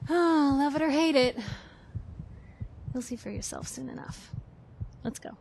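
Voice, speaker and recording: Game reporter role: a sarcastic, pushy young newswoman, highish voice, theatrical delivery, faint background noise. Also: slow pace. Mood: wry.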